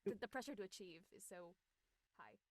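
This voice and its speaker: A woman's voice: mid-range voice, animated, a little fast, darkish tone. A woman in casual discussion, thinking aloud conversationally and often saying "like".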